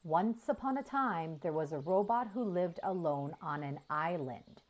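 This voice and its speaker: A woman voicing a helpful female farm robot in a storybook, with measured, formal phrasing. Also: on the low side, expressive, lo-fi sound. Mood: storytelling. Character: helpful, literal-minded female farm robot telling stories.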